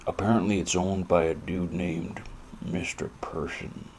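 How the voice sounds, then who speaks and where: An actor playing a cynical, hardboiled private eye in a noir audio drama, gravelly and deadpan. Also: male voice, low voice, measured pace, background noise. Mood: probing. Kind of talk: noir detective audio drama, narration and dialogue.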